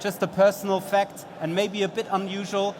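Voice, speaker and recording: A man's voice: high voice, flat delivery, normal speed, noisy background. A man moderating a conference session, introducing a guest speaker in an earnest, slightly formal way. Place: conference stage.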